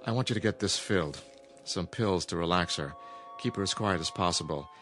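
Deep-voiced, concerned old doctor. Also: male voice, fairly bright tone. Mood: grave, cautious. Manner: measured, cautious, instructing. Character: concerned, authoritative old small-town male doctor. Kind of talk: modern radio drama acting.

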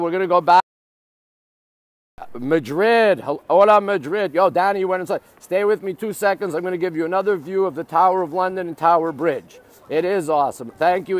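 A middle-aged man with a slightly high voice hosts a casual live stream. He speaks outdoors in the city, addressing viewers directly in short phrases that he often repeats. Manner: repetitive, short exclamatory phrases. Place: outdoors on city streets by a river.

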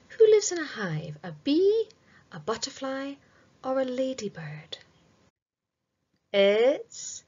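Middle-aged female quiz reader for children: very slow, sing-song delivery, lively pitch, slightly muffled webcam sound. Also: slightly rough. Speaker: quiz presenter reading aloud. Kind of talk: read-aloud multiple-choice quiz for children. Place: small room indoors.

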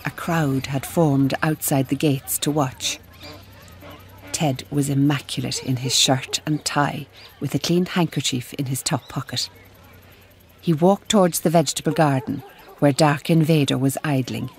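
A woman playing a refined, reflective storyteller, narrating a radio drama in a measured, descriptive manner. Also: low-pitched, steady tone.

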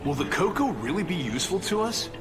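A man's voice: high voice, very animated, fast. A man voicing a confident, casual young male hedgehog hero in a video game cutscene. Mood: skeptical. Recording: loud music behind the voice.

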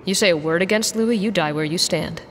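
A woman's voice: on the low side, fairly quick, a bit bright, big-room sound. A woman playing a guarded, blunt, loyal mercenary pilot in an audio drama, with a dry manner. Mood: stern.

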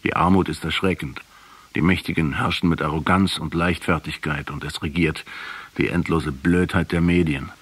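An actor playing a sombre, reproachful intellectual in a German radio drama, measured and rhetorical, with a very raspy voice. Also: male voice, very deep voice, steady tone, fairly bright tone, dry recording, full character voice. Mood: bitter, sombre. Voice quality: very husky, raspy. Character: sombre, reproachful male intellectual interrogating a revolutionary.

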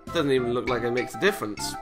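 A man's voice: mid-range voice, fast. A British man hosting a gaming video, chatting casually and reading visual novel text aloud with playful, self-interrupting asides. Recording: music playing behind the voice.

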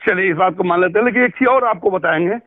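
A middle-aged man speaking earnestly and insistently in a phone conversation, gently admonishing. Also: high-pitched, racing, dry recording. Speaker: interviewer and activist. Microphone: telephone. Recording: tinny, band-limited phone call.

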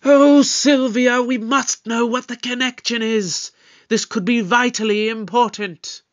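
A man playing a shrewd, authoritative detective in an audio drama, with a pompous, deliberate, probing delivery. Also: extremely high pitch, fairly even intonation, a bit bright, dull audio. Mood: grave, probing. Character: shrewd, authoritative male time-travelling detective, pompous and suspicious.

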